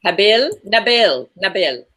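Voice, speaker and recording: An Australian woman hosting an informal online discussion, speaking in measured, tentative phrases. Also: medium pitch, sing-song, fairly bright tone, slight background noise. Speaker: host.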